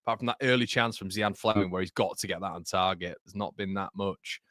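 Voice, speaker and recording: A man with a Yorkshire accent gives loose, chatty running commentary on a live football watchalong, breaking off to answer viewers in the chat and laugh at his own jokes. His voice sits fairly low, recorded close and dry with no room sound. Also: fast talker, bright, forceful tone, dry recording.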